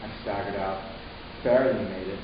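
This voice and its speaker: A man playing a troubled, horrified young man, narrating his ordeal solemnly and deliberately in a dramatic reading. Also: lowish voice, steady tone, muffled recording, lightly acted. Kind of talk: scripted first-person narration, dramatic reading. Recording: echoey room from far off, hissy.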